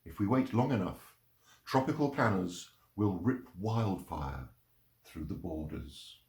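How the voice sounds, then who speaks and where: A man's voice: low-pitched, big pitch swings, measured pace, a little mellow. A man reciting poetry aloud in an echoing space. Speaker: poetry reader. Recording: roomy, echoing sound.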